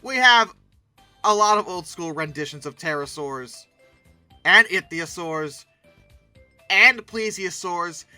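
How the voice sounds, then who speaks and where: An animated host with a very bright, forceful voice, recorded close and dry. The delivery swings widely in pitch, casual and comically incredulous, like someone reacting out loud to pictures. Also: takes it slowly, long pauses, no room sound, soft background music. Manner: animated, theatrically exclaiming and incredulous. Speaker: video host commenting on old dinosaur art. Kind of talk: casual humorous reaction commentary.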